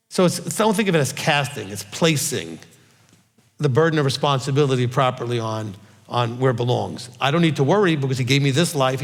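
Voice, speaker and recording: A man's voice: on the high side, big-room sound. A middle-aged man giving an informal Bible teaching, emphatic and often checking in with "okay?". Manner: emphatic, repeats "okay?" and "all right?".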